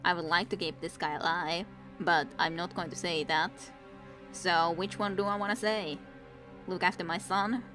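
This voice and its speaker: A woman from Finland talks in a relaxed, chatty way over her gameplay, her Finnish accent clear in every line. Her voice sits a little low, and she laughs easily as she answers her viewers. Soft game music plays quietly behind her. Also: normal speed. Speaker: streamer. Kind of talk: casual gameplay commentary.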